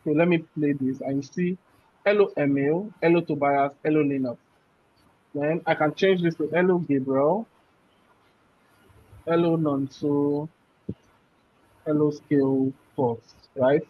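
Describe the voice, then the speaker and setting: A man's voice: on the high side, steady tone, measured pace, long pauses. A man teaching a programming class. He walks through code step by step and keeps checking in with "right?". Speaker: programming instructor. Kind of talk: live coding class explanation. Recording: muffled, dull audio.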